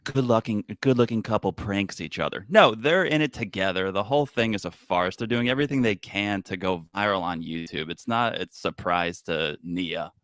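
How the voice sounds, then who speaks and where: A man's voice: fairly low pitch, normal speed, hardly any pauses, a bit bright, no echo, clean studio sound. A man who co-hosts a podcast, speaking as a guest in a casual chat, confident and emphatic.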